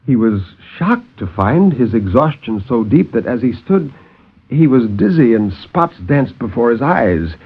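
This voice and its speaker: A man's voice: mid-range voice, sing-song, a little slow, slightly rough. A man reading a horror story theatrically, in a literary 1950s radio storytelling style, on a muffled old recording with music. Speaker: storyteller, co-host.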